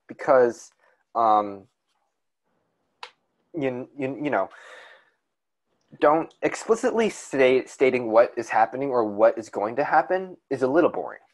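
A young man with a calm, soothing voice talks casually on a podcast. He rambles and restarts his sentences as he goes. His pitch sits in the middle range. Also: a little slow, long pauses. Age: young adult. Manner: rambling, with many restarts and fillers. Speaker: podcast co-host. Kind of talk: casual chat.

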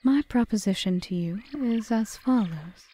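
Woman acting a soft, mellow forest witch. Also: medium pitch, expressive, no echo. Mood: calculating. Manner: measured, formal, often whispery delivery. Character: female yandere forest witch, calm, calculating, solemn. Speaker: audio roleplay performer. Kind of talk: ASMR roleplay monologue.

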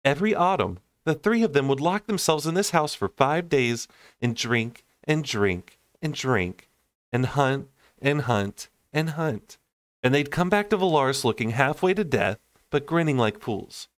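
A man's voice: medium pitch, animated, a little slow, no room sound, pitched above the performer's own voice, natural voice. A man acts out a sassy young woman from a fantasy storybook. The delivery is blunt, teasing and emphatic, with wry humor. The sound is dry, with very little room. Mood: mock stern.

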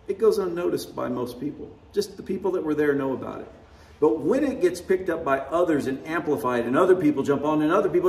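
A man's voice: fairly high pitch, reverberant, distant mic. A man preaching a sermon, conversational and wry, often chuckling at his own remarks. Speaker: pastor. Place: church sanctuary.

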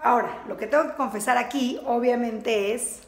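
A woman's voice: on the high side, normal speed, music in the background. A woman in her thirties giving a chatty, upbeat tour of her home, talking into a handheld camera as she walks from room to room. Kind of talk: casual house tour walk-through. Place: rooms of a house, indoors. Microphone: handheld camera, close, moving. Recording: echoey rooms, clear background noise.

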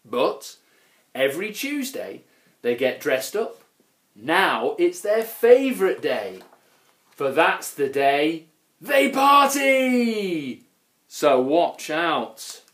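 A man's voice: on the high side, big pitch swings, drawn-out words, fairly bright tone. A man reading a children's picture book expressively, with dramatic emphasis on key words. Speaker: children's story reader. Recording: echoey room.

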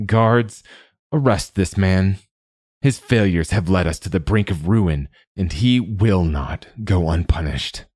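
A man's voice: extremely low pitch, expressive, normal speed, few pauses, warm tone, no echo, studio quality. A man voicing an eager, ambitious young prince turned king in a storybook, with a declamatory, commanding manner. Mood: cold, commanding.

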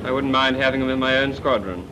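An actor playing a plain-spoken wartime flight instructor, in a clipped, matter-of-fact 1940s film style. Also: male voice, medium pitch, quick pace, natural voice. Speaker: flying instructor. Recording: noisy 1940s film soundtrack.

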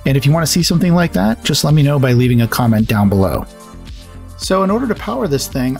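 A man hosting a hobby tutorial, explaining things step by step in a plain-spoken way. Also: medium pitch. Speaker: host of an electronics hobby channel.

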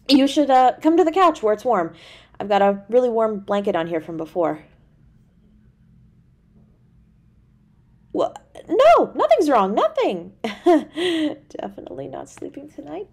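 A woman's voice: a little high, very animated, darkish tone, exaggerated voice. A woman playing a tender, easily flustered young girlfriend in an intimate audio roleplay, speaking in a hesitant, stammering way. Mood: embarrassed. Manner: hesitant, stammering, halting delivery.

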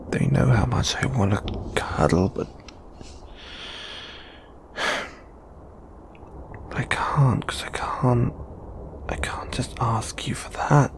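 A British man voicing a shy, flustered lamia flatmate in an intimate audio roleplay, with stammering, hesitant, sighing delivery. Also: on the low side, extremely slow, vocal fry, pauses often, background noise, exaggerated voice. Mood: wistful, mumbling.

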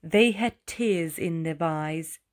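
A woman narrating a rhyming children's storybook aloud with dramatic, deliberate phrasing. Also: deep voice.

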